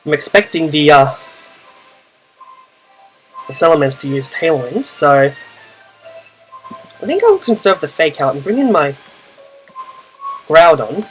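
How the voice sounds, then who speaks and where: Loud-voiced Australian man commentating. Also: fairly high pitch, very animated, a little slow, pauses often, soft background music, poor audio. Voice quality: naturally loud voice. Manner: chatty, thinking aloud with many fillers. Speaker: Pokemon battle commentator. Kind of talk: casual play-by-play gaming commentary.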